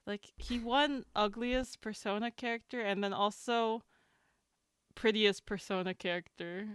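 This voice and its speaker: A woman's voice: mid-range voice, fairly even intonation, measured pace, clean tone, dry recording. A woman co-hosting a casual podcast, explaining things in an earnest, amused, fannish way. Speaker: podcast host. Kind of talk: casual group chat.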